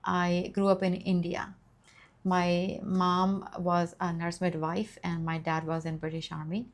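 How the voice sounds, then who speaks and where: A middle-aged woman from Nepal speaks in a low voice that stays flat and level. She tells her story in an earnest, grateful, measured way, like a guest in an interview. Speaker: nurse practitioner guest. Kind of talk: interview answers, life story.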